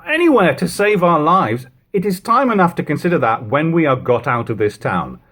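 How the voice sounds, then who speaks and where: A man's voice: a little high, sing-song, moderate pace, fairly bright tone, pitched above the performer's own voice. A man voicing a defiant, practical ex-soldier turned baker in an audiobook of an old novel, speaking emphatically and argumentatively. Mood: defiant, indignant. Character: defiant, practical ex-soldier biscuit baker, plain-spoken Londoner.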